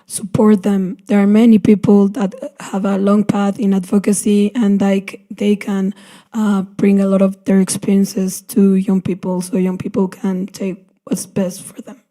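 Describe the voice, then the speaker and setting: A woman speaking thoughtfully as a panelist at a conference, pausing with fillers. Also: medium pitch, completely flat delivery, dark tone. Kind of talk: panel discussion. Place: conference session.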